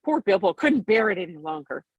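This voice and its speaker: A woman's voice: medium pitch, lively intonation, fairly quick, creaky, husky, no room sound, lo-fi sound. A woman reading a storybook aloud as bedtime narration, expressively, with an occasional stumble over the words. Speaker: storybook reader.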